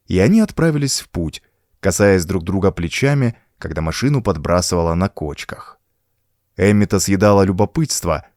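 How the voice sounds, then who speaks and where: A man narrates a suspenseful horror story in a fairly low voice. His intonation swings widely and expressively, and the recording is dry and close, with almost no room sound. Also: darkish tone, no room sound. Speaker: audiobook narrator.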